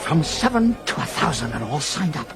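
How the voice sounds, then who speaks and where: Gravelly, blunt male ex-sergeant. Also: a little high, big pitch swings, fairly quick. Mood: wary, impressed. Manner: blunt, grudging. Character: plain-spoken, wary former army sergeant. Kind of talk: radio drama dialogue.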